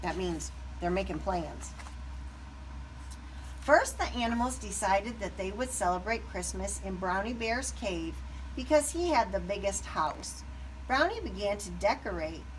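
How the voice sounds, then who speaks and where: A woman narrating a children's story aloud with expressive, deliberate phrasing. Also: medium pitch, distant mic, rough recording. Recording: background noise.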